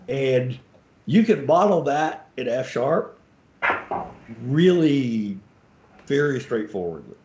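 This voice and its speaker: A man's voice: medium pitch, big pitch swings, slow, pauses often, darkish tone, slight background noise. A middle-aged man talking casually and hesitantly, often trailing off and starting over. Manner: hesitant, with many fillers and self-restarts. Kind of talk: casual discussion. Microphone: video call. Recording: roomy, dull video call audio.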